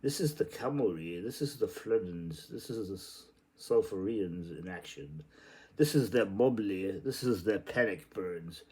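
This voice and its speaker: Male, low pitch, very lively intonation, unhurried pace, theatrical literary reading, playful, exclamatory. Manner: playful, exclamatory delivery with sudden outbursts. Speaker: reader.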